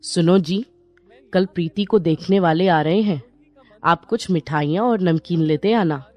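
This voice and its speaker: Woman as low-voiced, worried cartoon mother. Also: a little slow, darkish tone, muffled recording, natural voice. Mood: hurried, eager. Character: worried, homely mother seeking a match for her daughter. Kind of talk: animated Hindi moral story dialogue.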